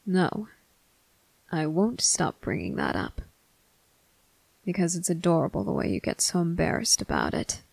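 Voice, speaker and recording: A performer voicing a stern but tender male vampire captain in an intimate ASMR roleplay, speaking deliberately in clipped phrases with pointed pauses. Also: medium pitch, big pitch swings, creaky, darkish tone, no room sound, heavily acted, poor audio. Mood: dry, tender. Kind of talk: ASMR roleplay addressed to the listener.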